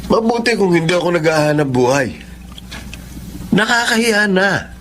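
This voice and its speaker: A man's voice: sits high, expressive, normal speed, a bit bright, a little hiss or hum. An actor playing a resentful working husband and father in a drama, scolding, with a Filipino accent. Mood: reproachful. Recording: faint ambience and rustle.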